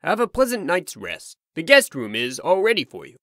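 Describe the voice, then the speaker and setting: A man's voice: fairly high pitch, huge pitch swings, normal speed, pushed and bright, no echo, clean studio sound, full character voice. A man voicing an indignant, scheming talking farm animal in a theatrical, emphatic manner for a comic dub. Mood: smoothly evasive.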